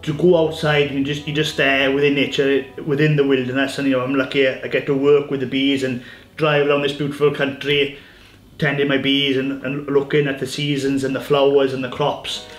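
A man's voice: mid-range voice, a little fast. A man in his thirties from Wales, a beekeeper talking casually about his work, often repeating words as he goes. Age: 30s. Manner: relaxed, repeats words as he talks. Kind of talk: casual interview chat. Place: farm kitchen and woodland hive site.

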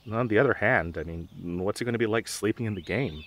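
A young man playing a dorky, nervous, protective gamer best friend in an audio roleplay, with halting, awkward delivery. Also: fairly low pitch, fairly bright tone, a little hiss or hum, lightly acted. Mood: worried, musing. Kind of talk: audio roleplay drama, conversational.

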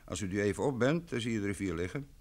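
An actor playing a chatty, obliging country innkeeper in an old Dutch radio play. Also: male voice, fairly low pitch, lively intonation, quick pace, a little mellow. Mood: helpful, chatty.

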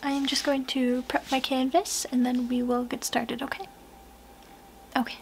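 A young woman speaking softly, often in a whisper, in a gentle, unhurried ASMR personal-attention roleplay, murmuring close to the listener. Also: high-pitched, flat delivery, slight background noise. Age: young adult. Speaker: ASMR artist roleplaying a portrait painter.

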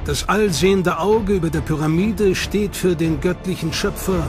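A male documentary narrator reads his script in a measured, dramatic storytelling manner. His voice is on the high side for a man, and a music bed plays clearly behind him.

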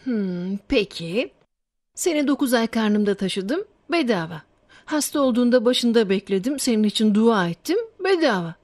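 Cartoon mum: highish, dry-recorded voice, caring and gently instructive. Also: female voice, expressive, normal speed, no echo. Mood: tender, earnest. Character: gentle, wise, caring mother of a schoolboy. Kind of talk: children's cartoon voice acting.

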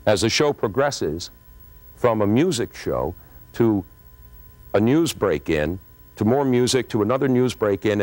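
An American man in his fifties recalling events in an interview, speaking in a deliberate, emphatic storytelling way. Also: lowish voice, a little mellow, no echo. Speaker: former radio producer recalling a broadcast. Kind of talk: interview recollection. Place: TV studio with curtain backdrop.

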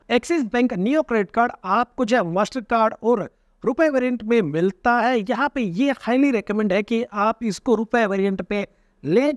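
A man in his thirties with a very high, bright-toned speaking voice talks straight to camera, animated and gesturing as he explains. The sound is close and dry, picked up on a lapel mic in a small room. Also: no echo. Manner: animated, gesturing constantly, addresses viewers as friends. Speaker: personal finance YouTuber. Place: small indoor room with a floor lamp.

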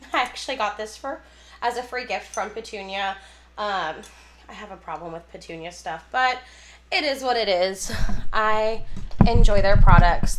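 A woman's voice: mid-range voice, a bit bright, slight background noise. A young woman getting over a cold and losing her voice, chatting through a casual stroller review in her nursery at home, full of ums and likes. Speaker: mom vlogger reviewing a stroller. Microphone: handheld phone camera, then a camera set on the floor.

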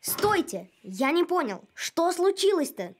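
An actor voicing a sensible, earnest young boy in an animated series, reasoning and encouraging like a peacemaker. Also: very high voice, a little slow, exaggerated voice. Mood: puzzled.

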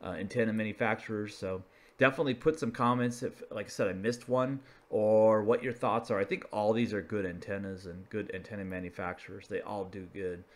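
A man's voice: on the low side, steady tone, fairly quick. A man presenting a hobbyist explainer in a casual, unscripted, conversational way, feeling his way through phrases as he talks over slides. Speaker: video presenter, radio hobbyist.

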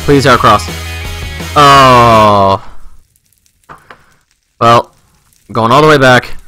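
Bright-voiced man rambling over gameplay. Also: mid-range voice, fairly even intonation, normal speed, no echo, faint music behind. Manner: informal, rambling, thinking aloud. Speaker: gaming video host. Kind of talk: casual let's-play commentary.

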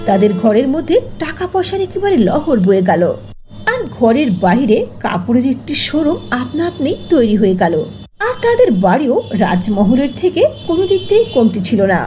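A woman's voice: high voice, sing-song, fairly quick, warm tone, faint music behind. A woman narrating a scripted fairy tale as a storyteller. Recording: faint noise under voice-over.

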